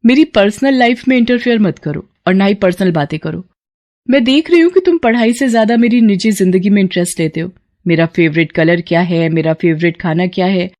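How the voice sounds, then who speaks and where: A woman's voice: medium pitch, normal speed, darkish tone, natural voice. A woman voices a firm young professor who lectures sternly and warns sharply. The tone stays in the narrator's own mid-range woman's voice. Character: strict young female professor, firm and scolding.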